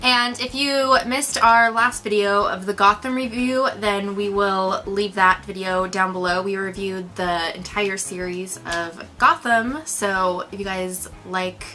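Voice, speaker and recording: A woman co-hosting a casual movie review, speaking in an informal, slangy and expressive way. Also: mid-range voice, a little slow, few pauses, faint music behind. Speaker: co-host of a movie review show. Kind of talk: casual conversational film review chat.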